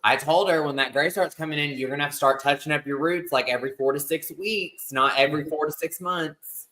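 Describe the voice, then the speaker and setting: A man's voice: fairly high pitch, fairly quick, bright, forceful tone, slight background noise, poor audio. A man in his thirties co-hosting a casual podcast, chatty and rambling with lots of fillers. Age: 30s. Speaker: podcast co-host. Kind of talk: casual chat.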